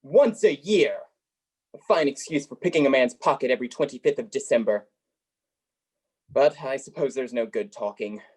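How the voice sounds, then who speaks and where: A man's voice: medium pitch, normal speed, pauses often, darkish tone, husky, close to an everyday voice. A man acting a grumpy, miserly old man in a radio play, blustering and grumbling.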